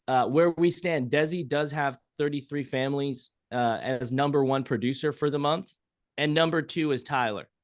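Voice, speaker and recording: A man's voice: medium pitch, dry recording. Upbeat man hosting a team call, dry sound. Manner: upbeat, rambling, frequent fillers. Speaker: agency team call host. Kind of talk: casual team call talk. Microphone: video call.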